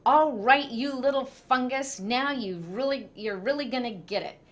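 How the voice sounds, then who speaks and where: A woman voicing a whiny, scornful older brother in a children's storybook, quick to snap. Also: high voice, voice pushed up, lightly acted. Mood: furious. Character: whiny, bossy older brother, a bickering schoolboy.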